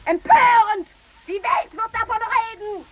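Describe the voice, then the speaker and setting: An actress playing an outraged, shrill, protesting female reporter in a 1950s German radio drama. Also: big pitch swings, a little slow, full character voice. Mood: indignant. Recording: noisy, busy vintage radio recording.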